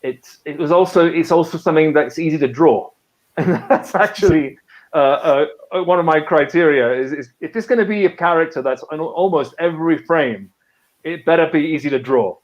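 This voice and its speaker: A man's voice: on the high side, normal speed, lo-fi sound. A man, an author and conservation biologist from a British and French background, talks casually on a live video call, with many fillers and restarts. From half British, half French.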